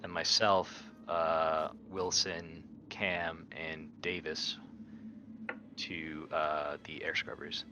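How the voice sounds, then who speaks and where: A man's voice: rumbling low, little pitch movement, extremely slow, muffled recording, background noise. A man playing a tabletop game, talking through it casually in a blunt, argumentative way.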